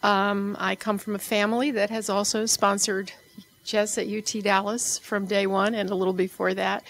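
A soft-spoken woman in her fifties addresses a banquet hall through a podium microphone. Her voice has a gravelly fry at mid pitch, and her pace is measured with the odd filler. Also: a little hiss or hum. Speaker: associate provost. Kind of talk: speech at an award event.

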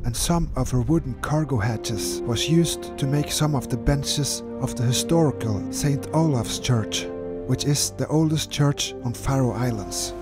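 Calm man narrating a sailing voyage. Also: mid-range voice. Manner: calm, measured, slightly non-native phrasing. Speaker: solo sailor narrating his voyage. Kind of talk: scripted voice-over narration. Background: music.

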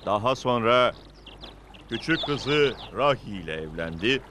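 A man narrating a religious cartoon story in a solemn, measured way. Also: mid-range voice, lively intonation, noisy background, lightly acted.

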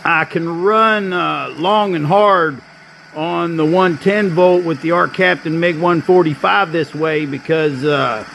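A man's voice: on the high side, gravelly, a bit bright, slight background noise. A man in his fifties, a welder hosting his channel, explaining his work in casual, practical how-to talk with plenty of filler. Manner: plainspoken, practical, with frequent filler. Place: welding shop and outdoor work site.

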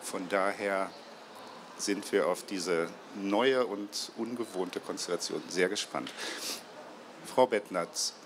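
Ein Mann in den Fünfzigern moderiert ein Buchgespräch auf einer Messebühne vor Publikum, mit Handmikrofon, stockend und mit vielen Füllwörtern. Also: male voice, sits low, background noise. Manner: halting, many fillers and restarts. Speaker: moderator, publisher's marketing head. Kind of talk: moderated book talk. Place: trade fair stage before a seated audience. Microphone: handheld mic.

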